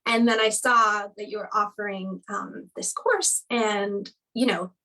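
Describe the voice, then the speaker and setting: A woman's voice: medium pitch, fairly even intonation, measured pace, sharp tone, recorded from a distance, poor audio. A woman in her thirties, a guest chatting casually in an interview, rambling with lots of "like" and light laughs.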